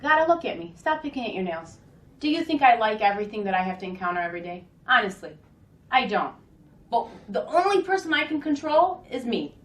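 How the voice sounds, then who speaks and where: A woman acting as a patient but firm school counselor in an amateur role-play, speaking in a measured, coaxing way. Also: fairly high pitch, big pitch swings. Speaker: school staff member counseling a student. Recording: roomy echo, distant microphone.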